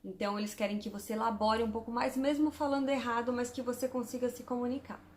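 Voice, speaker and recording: A woman's voice: a little high, steady tone, fast, slight background noise. Chatty Brazilian woman in an echoey room. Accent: Brazilian Portuguese, from Santos. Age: 30s. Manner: chatty, spells out lists item by item with German words mixed in. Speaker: vlogger. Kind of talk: casual chat to camera.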